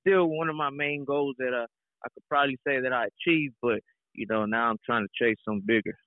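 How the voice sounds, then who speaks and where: A man's voice: mid-range voice, steady tone, quick pace, no echo. A young man from Mexico who grew up in Texas, chatting casually as an interview guest and often saying "you know". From Mexico, raised in Houston, Texas. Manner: peppers talk with "you know". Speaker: guest, rapper and reggaeton singer. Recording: narrow, tinny phone line.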